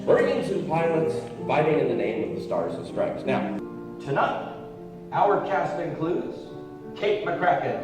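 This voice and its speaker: Male actor as stately 1940s radio show host, highish voice, slow, sweeping, grand proclamations. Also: huge pitch swings. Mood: grand, welcoming. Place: on stage in an opera house, live. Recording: very echoey hall, distant, music and audience.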